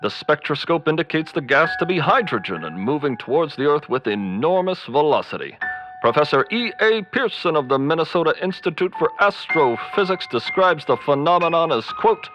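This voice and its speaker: An earnest news announcer from a 1930s radio play. He is formal and measured, pitched a little high, with a dull lo-fi sound and faint music behind. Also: very animated. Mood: formal, grave.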